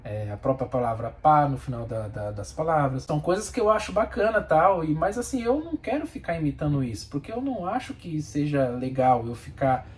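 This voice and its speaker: A man's voice: on the high side, lively intonation, brisk, few pauses. A Brazilian man speaking Portuguese with a Brazilian accent, a bus driver giving his plain-spoken, opinionated views in a casual vlog and repeating himself for emphasis.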